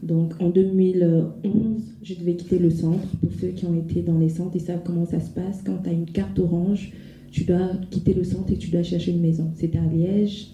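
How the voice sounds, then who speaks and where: A woman's voice: on the low side, flat delivery, normal speed, mellow. A woman, giving a hesitant spontaneous testimony in public. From Ivory Coast. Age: 20s. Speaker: speaker giving personal testimony. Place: echoey room at a public event. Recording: muffled, noisy, echoey room.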